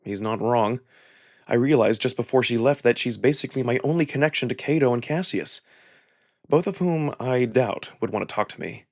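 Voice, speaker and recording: A man's voice: medium pitch, moderate pace. A man giving a dramatic, introspective first-person narration of a visual novel. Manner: expressive, introspective storytelling.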